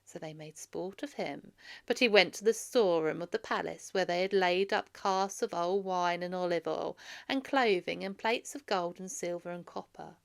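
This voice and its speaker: Creaky-voiced woman reading a children's story. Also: fairly low pitch, normal speed. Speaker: storybook reader. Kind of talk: read-aloud narration for children.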